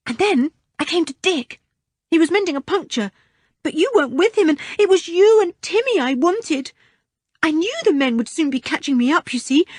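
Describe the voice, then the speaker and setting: Brief: woman voicing a frightened young boy, breathless, lively pitch. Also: no echo, studio quality.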